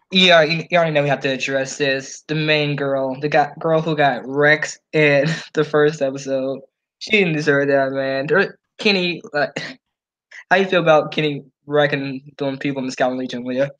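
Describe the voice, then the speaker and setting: Higher-voiced man in slangy fan chat. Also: fairly even intonation, normal speed, fairly bright tone. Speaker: podcast co-host.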